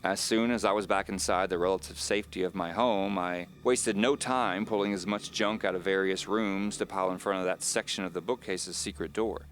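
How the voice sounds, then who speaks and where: A man playing an uneasy man recounting his own mystery in measured, confiding, scripted audio drama narration. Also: low-pitched, fairly even intonation, a bit bright, a little hiss or hum. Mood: wary, resolute.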